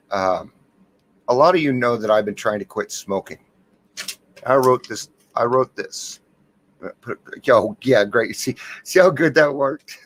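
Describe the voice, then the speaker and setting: A man hosting a recovery livestream, talking softly in a candid, rambling way while unwell and worn out. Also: mid-range voice. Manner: rambling, candid, self-deprecating, many restarts. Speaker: livestream host of a recovery reflection. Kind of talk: casual livestream chat. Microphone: computer mic with gain turned up.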